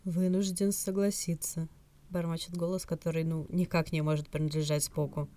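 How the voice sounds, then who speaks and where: A woman's voice: sits low, little pitch movement, a little fast, warm tone. A woman reading a fan fiction audiobook as scripted narration.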